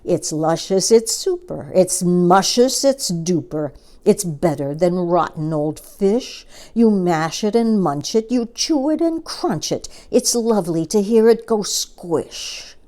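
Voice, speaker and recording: A woman's voice: lowish voice, expressive, slow, darkish tone. A huge, greedy storybook crocodile, played by a woman reader. The delivery is sly and boastful, savouring each phrase with sneering, gloating relish. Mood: gleeful boasting.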